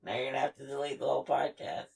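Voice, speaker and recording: A woman's voice: a bass voice, level tone, rapid-fire, vocal fry, darkish tone, husky. A woman co-hosting a podcast, bantering casually about films in a blunt, sweary manner. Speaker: podcast co-host. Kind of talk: casual bantering film review chat.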